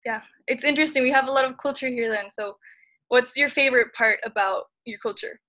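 A woman's voice: high-pitched, fairly quick, bright, forceful tone. A teenage girl from El Salvador hosting a casual podcast chat, chatty and upbeat with many fillers. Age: teens. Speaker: podcast host.

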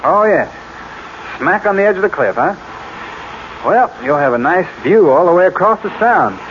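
An actor playing an amiable, unsuspecting architect with a breezy, colloquial manner in an old radio drama. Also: male voice, sits high, very animated, normal speed. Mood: cheerful, friendly. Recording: muffled, noisy old radio recording.

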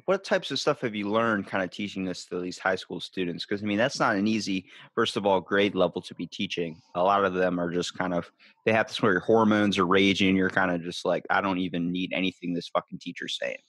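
A man's voice: low voice, fairly even intonation, fairly quick, fairly bright tone, dry recording. A man hosting a podcast interview, loose, rambling and jokey in casual chat. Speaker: podcast host.

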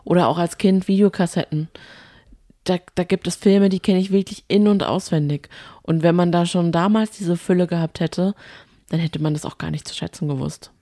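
A woman's voice: on the low side, steady tone, moderate pace, mellow, no room sound, clean studio sound. A woman chatting casually as a podcast co-host, with a playfully critical, teasing way of talking.

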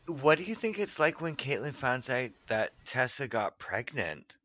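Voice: mid-range voice, sing-song, unhurried, a bit bright. A podcast host chatting casually about a film in a blunt, joking way, swearing freely. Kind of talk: casual film commentary chat.

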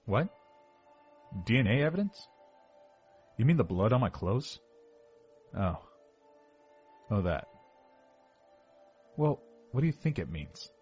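A man playing a heavyset, obsessive young killer confessing defensively to detectives in a horror audio drama monologue, with a husky voice. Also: medium pitch, sing-song, takes it slowly, long pauses, a little mellow, exaggerated voice. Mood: evasive, smug. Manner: confessional, defensive, self-justifying. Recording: dull lo-fi sound with music behind.

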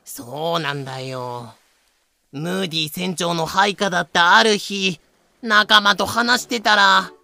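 Someone acting cocky pirate captain, rough talk, gravelly and sharp, fan radio play. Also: medium pitch, a little slow, no echo, full character voice. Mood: grumbling. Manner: rough, swaggering speech. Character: brash male pirate captain, menacing thug turned chummy. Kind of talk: fan audio drama acting.

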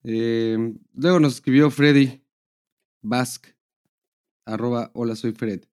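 A Mexican man with a mellow, soft-edged voice at a middling pitch co-hosts a podcast. He chats casually and reads listener messages aloud, with crude, slangy humor. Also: fairly even intonation, slow pace. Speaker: podcast co-host.

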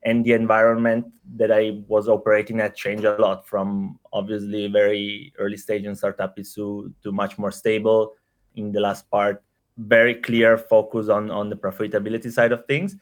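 Italian guy, kinda low voice, lots of uh and repeating words while he thinks. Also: little pitch movement, normal speed. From Italy. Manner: hesitant, frequent fillers and repeated words. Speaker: startup executive guest. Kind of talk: casual interview conversation.

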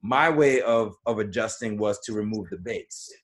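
A man's voice: on the low side, fairly bright tone. Reflective man in podcast talk. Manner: reflective, halting with restarts. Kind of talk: casual panel discussion.

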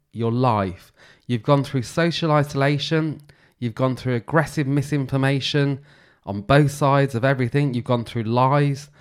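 A man in his forties, slightly nasal from recent illness, hosting his podcast alone in an earnest, reflective and candid way. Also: medium pitch. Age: 40s. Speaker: podcast host. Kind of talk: solo podcast monologue.

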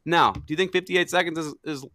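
A man's voice: on the high side, fairly quick, sharp tone, no room sound, studio quality. A young man hosting a podcast in casual, playful, sweary banter. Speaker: podcast host.

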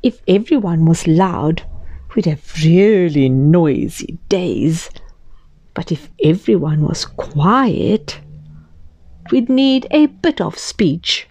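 A joyful mother answers her little boy gently and with conviction, as a woman reading a storybook gives her a voice. She speaks unhurriedly and stresses each reassuring word. The sound is dry and close. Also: lowish voice, lively intonation, dry recording, full character voice. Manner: warm, reassuring, slow and emphatic.

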